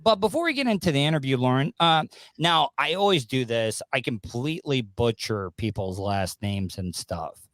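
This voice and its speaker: Male podcast host, forties, mid-pitched, casual and halting, close-miked in a dry room. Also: expressive, a bit bright, dry recording. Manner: rambling, halting delivery. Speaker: podcast host interviewing a guest. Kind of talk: casual interview. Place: small home studio with acoustic foam. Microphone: close studio microphone on a boom arm.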